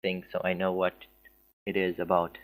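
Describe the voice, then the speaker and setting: A man's voice: sits low, monotone, normal speed. A man reading a story aloud as an amateur, stumbling over words and dropping in casual asides. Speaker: story reader. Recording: music behind the voice. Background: background music.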